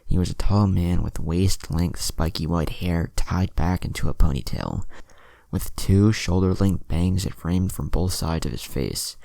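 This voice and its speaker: A man's voice: deep voice, measured pace, creaky, mellow, no echo. A man narrating a scripted fan-fiction story, with music behind his voice. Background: music under the voice.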